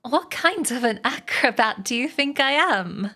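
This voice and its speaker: A kindly storybook spider, played by a woman, female and clever. She speaks in a raised pitch, brisk and articulate, with an encouraging sweetness. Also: lively intonation, a bit bright, faint music behind. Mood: brisk, indignant.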